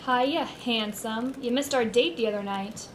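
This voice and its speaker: A woman plays a coy, flirty receptionist teasing a detective in a radio play. Her voice is a little high, with a faint hiss behind it. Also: measured pace, close to an everyday voice.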